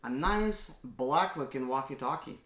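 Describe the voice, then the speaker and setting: Excitable man unboxing gadgets. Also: mid-range voice, measured pace. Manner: excited, exclaims often. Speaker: mobile mechanic YouTuber. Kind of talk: casual unboxing vlog. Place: indoors at home. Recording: echoey room with faint noise.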